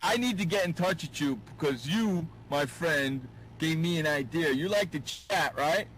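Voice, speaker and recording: A man's voice: fairly high pitch, expressive, moderate pace, fairly bright tone, poor audio. A man hosting a radio show with casual interview banter. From New York, United States. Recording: background noise and room ambience.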